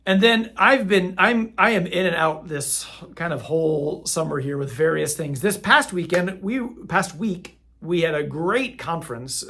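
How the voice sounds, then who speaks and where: A middle-aged man runs through parish announcements in an easy, conversational way. His voice sits slightly high for a man, and he wanders and corrects himself like someone thinking aloud. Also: fairly bright tone. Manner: rambling, self-correcting, friendly. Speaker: parish priest.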